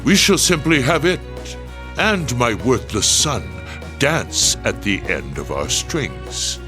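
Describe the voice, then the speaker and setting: A man's voice: medium pitch, sing-song, over music. An actor playing a ruthless, scheming middle-aged statesman in a video game, speaking in a grandiose, commanding way. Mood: cold resolve. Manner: grandiose, deliberate, imperious. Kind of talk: English video game dub acting.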